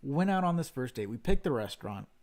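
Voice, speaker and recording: A man of ordinary pitch tells a long story on a casual home livestream. He rambles, corrects himself and pokes fun at himself along the way. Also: big pitch swings, quick pace, darkish tone. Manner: rambling, self-deprecating storyteller. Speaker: stream co-host.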